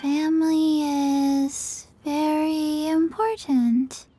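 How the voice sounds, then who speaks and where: Very slow, deadpan little girl. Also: high-pitched, no room sound. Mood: solemn. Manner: deadpan, halting short phrases. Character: small undead girl, blank, earnest and slow. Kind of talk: video game voice acting.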